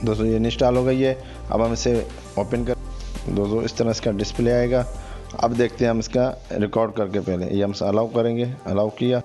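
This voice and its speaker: A man presents an app tutorial as the host of a tech channel. His delivery stays even and flat in pitch. He keeps addressing the viewers directly as friends while he explains each step. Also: faint music behind. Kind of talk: app review tutorial narration.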